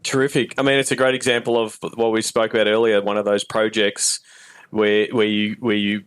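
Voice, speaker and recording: A man's voice: fairly low pitch, little pitch movement, brisk, a bit bright, smooth, dry recording. A man hosting a casual podcast interview, encouraging his guest and feeling his way through questions with repeated words.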